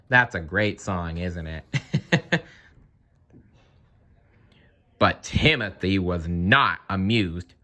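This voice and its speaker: Animated man reading a children's story. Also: low voice, drawn-out words, long pauses. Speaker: story reader. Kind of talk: expressive children's read-aloud narration.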